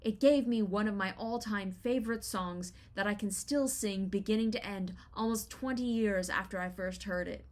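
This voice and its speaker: A woman in her thirties with an American accent, reading a scripted first-person essay in an expressive, wry storytelling manner. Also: mid-range voice, normal speed, hardly any pauses. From Los Angeles, United States. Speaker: writer reading their own personal essay.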